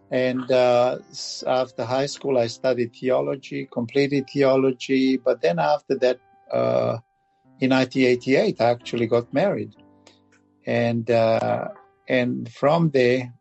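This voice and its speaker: A man in his fifties with a Balkan accent, talking casually and haltingly as an interview guest. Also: medium pitch, creaky, darkish tone, muffled recording. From Bosnia and Herzegovina, former Yugoslavia. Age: 50s. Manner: halting, repeats words. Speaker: podcast guest. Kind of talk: casual interview talk, recounting.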